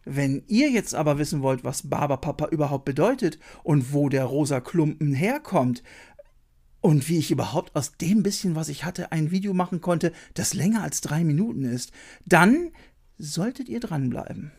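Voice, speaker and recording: A man's voice: fairly high pitch, expressive, normal speed, darkish tone, husky. A middle-aged man presenting a scripted video essay as host of a retro TV channel, recorded on a desk mic in a small room. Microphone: desk microphone. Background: music.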